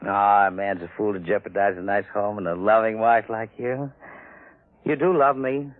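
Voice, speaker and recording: A male actor playing an excitable husband in a 1950s sci-fi radio drama, folksy and emphatic, on a muffled old broadcast recording. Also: fairly low pitch, normal speed, lightly acted. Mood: affectionate, weary. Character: excitable husband in a sci-fi tale, affectionate, growing fearful.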